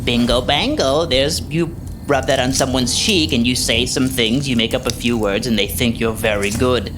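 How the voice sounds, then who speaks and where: A woman's voice: very deep voice, normal speed. A woman playing a glib, self-important charlatan wizard healer in an improvised fantasy comedy podcast, bluffing breezily through hesitant explanations. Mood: smug. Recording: music under the voice.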